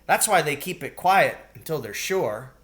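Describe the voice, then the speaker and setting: Man voicing an earnest schoolboy, pitched up. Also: lively intonation, normal speed, fairly bright tone. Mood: earnest, knowing. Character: farm family's schoolboy son, earnest know-it-all. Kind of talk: acted storybook dialogue.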